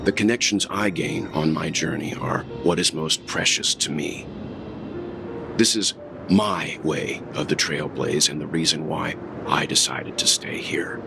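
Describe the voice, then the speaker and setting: An actor playing a calm, wise traveller in a story game, speaking in a measured, earnest, philosophical way. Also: male voice, very deep voice, gravelly, noisy background, full character voice. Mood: earnest, reflective. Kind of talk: video game story acting.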